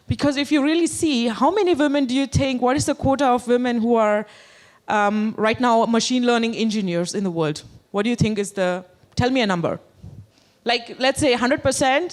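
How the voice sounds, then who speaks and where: Indian woman giving a halting conference talk. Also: fairly high pitch. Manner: hesitant, many fillers, asks the audience. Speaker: conference speaker. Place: conference hall.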